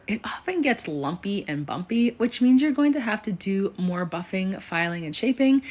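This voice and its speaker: Chatty woman, a bit raspy from illness. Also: medium pitch. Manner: chatty and self-deprecating. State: sick, slightly raspy. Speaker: nail tutorial host. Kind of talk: casual how-to voice-over.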